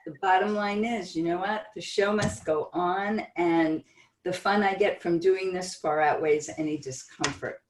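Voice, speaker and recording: Woman host, low-ish voice, chatty, roomy echo, set back from the mic. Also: steady tone, measured pace, a little hiss or hum. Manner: chatty, laughs often. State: sore from broken ribs. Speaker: interview host. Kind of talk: casual video-call interview. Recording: echoey room, far from the mic.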